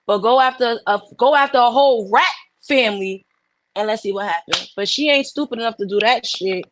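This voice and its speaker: A woman's voice: a little high, fairly quick, slight background noise. A woman co-hosting a casual podcast, blunt and fired up, swearing as she argues her point. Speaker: podcast co-host. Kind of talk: heated casual chat.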